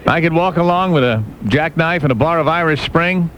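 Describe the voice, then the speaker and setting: A man's voice: a little high, a little fast, a bit bright, a little hiss or hum, poor audio. A man hosting a radio show, bantering comically with a caller in theatrical, slangy phrases. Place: radio studio.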